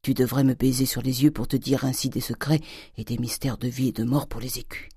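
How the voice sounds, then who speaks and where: A woman's voice: extremely low pitch, steady tone, brisk, warm tone, slightly rough, no echo, clean studio sound, heavily acted. A woman voicing a greedy, explosive old French father in an audiobook, coaxing and exclaiming. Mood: wheedling, greedy. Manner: coaxing, exclamatory, gold-obsessed. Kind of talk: audiobook character acting.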